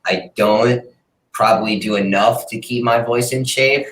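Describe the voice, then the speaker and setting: A man's voice: medium pitch, steady tone, a little slow, fairly bright tone. A man, a singer-songwriter, talking about his music in a laid-back, rambling way, as a guest in an interview. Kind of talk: casual interview chat. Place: room in a city apartment, street noise outside. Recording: roomy echo.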